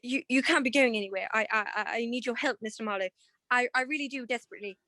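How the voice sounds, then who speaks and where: High-voiced, flustered, pleading wife played by a woman. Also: fast talker, sharp tone, no echo, clean studio sound. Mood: desperate. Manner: breathless hesitations, stammering, pleading. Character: anxious, pleading married woman client, flustered and genteel. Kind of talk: 1940s detective radio play acting.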